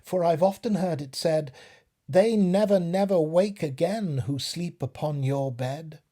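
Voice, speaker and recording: A man's voice: a little high, a little slow, warm tone, pitched above the performer's own voice. A man voicing a small fly in a storybook poem, wary and anxiously polite as it refuses temptation. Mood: alarmed.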